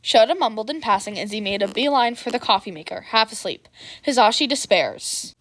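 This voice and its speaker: Woman reading a fanfic story aloud, fairly high voice, narrator. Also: animated, a little fast, fairly bright tone. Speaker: podfic reader and channel host. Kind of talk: scripted fan fiction narration.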